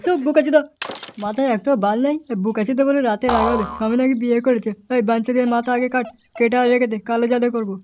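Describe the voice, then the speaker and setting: A hot-tempered cartoon gang boss, put on by a voice performer, brash and commanding. The words come very quickly, full of curses and orders. There is faint noise behind the voice. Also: mid-range voice, steady tone, lightly acted. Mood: menacing. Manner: brash, swearing, barking orders. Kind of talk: comedy cartoon dubbing.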